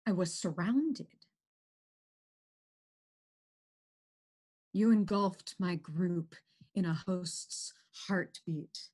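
A woman playing a boastful, menacing virus in a theatrical, drawn-out stage monologue. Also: fairly low pitch, heavily acted. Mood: unsettled. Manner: theatrical, drawn-out, gloating, swinging from outbursts to hushed menace. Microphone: computer microphone, close webcam framing.

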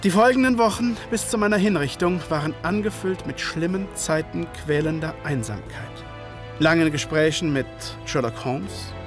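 An actor playing a gentleman physician and former soldier, narrating an audio drama in measured, literary fashion. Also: on the high side, over music, natural voice. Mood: grave, sombre.

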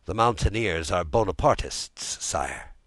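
A courteous adviser to a king, played by a male reader, speaks carefully and with earnest deference. The voice is on the low side, in a dry recording. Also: lively intonation, measured pace, fairly bright tone, no room sound, full character voice. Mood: respectful, earnest. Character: zealous, deferential royal adviser, measured and tactful. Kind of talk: audiobook character dialogue.

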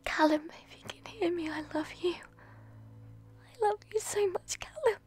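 Captive young woman, tearful whispered pleas, very high and slow, a woman acting her. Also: a little mellow, faint music behind. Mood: desperate pleading. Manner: breathy tearful whispering, pleading. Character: frightened young woman student held captive. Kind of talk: audio roleplay drama.

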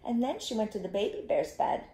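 A middle-aged woman telling an interactive story to young children, expressive and instructive, inviting them to join in, recorded in a living room. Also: mid-range voice, a little fast, echoey room. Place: small living room, indoors. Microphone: camera a short distance away.

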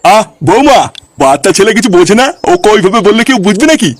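Man voicing a fast-talking kindly cartoon grandpa. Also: sits high, expressive, no room sound. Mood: coaxing, affectionate. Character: kindly, excitable old grandfather. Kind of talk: comedy cartoon dialogue.

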